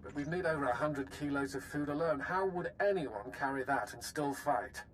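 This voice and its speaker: An actor playing a resolute, ruthless military strategist in a video game, speaking formally and sternly. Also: male voice, a little high, moderate pace, a little hiss or hum, natural voice, rough recording. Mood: stern, doubtful. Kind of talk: scripted game dialogue.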